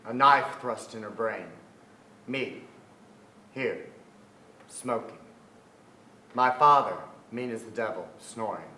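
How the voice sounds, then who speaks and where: Reflective playwright, forties, deliberate and literary, staged reading in a reverberant theatre. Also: male voice, lowish voice, pushed and bright, exaggerated voice. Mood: somber. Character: reflective Southern playwright remembering his beloved troubled sister. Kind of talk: dramatic staged reading of memoir. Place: theatre stage before an audience. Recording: echoey theatre stage, noticeable noise.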